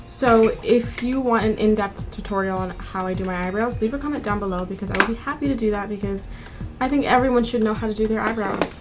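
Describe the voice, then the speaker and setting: A woman's voice: mid-range voice, fast. A woman in her twenties narrating a makeup tutorial in a casual, informal, conversational way as a beauty vlogger. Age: 20s. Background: background music.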